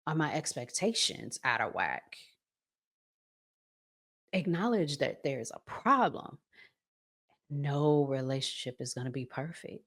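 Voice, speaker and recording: A woman with a low voice speaks at a slow, deliberate pace, as a host giving advice straight to her audience. She is conversational but emphatic, repeating key phrases and posing rhetorical questions. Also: sing-song, fairly bright tone. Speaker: relationship vlog host. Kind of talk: conversational relationship advice monologue.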